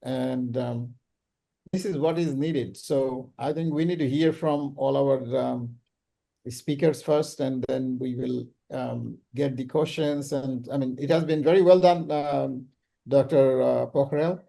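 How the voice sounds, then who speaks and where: A man hosting an online business summit, talking casually and haltingly with many ums and uhs over a video call. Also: medium pitch, steady tone, creaky, warm tone, poor audio. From Bangladesh. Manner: hesitant, full of ums and uhs. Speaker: summit host.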